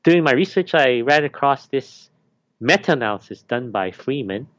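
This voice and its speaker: A man's voice: medium pitch, lively intonation, normal speed, a bit bright, no room sound. Guy from malaysia raised in canada, teaching fellow, lots of uhs, muffled lo-fi line. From born in Malaysia, raised in Canada. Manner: hesitant, many fillers and restarts. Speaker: university teaching fellow, guest. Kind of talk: casual interview answer.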